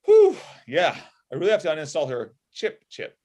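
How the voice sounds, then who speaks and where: A man's voice: fairly high pitch, wildly swooping pitch, normal speed, dark tone. A man in his thirties playing a sardonic, frustrated robot inventor in a comedy table read over a video call from home. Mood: wry. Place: small room at home.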